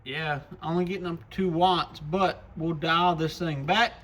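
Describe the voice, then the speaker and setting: Male shop presenter, voice a touch high, folksy and enthusiastic, casual hands-on product demo delivery. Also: fairly even intonation, a little slow, heavy background noise.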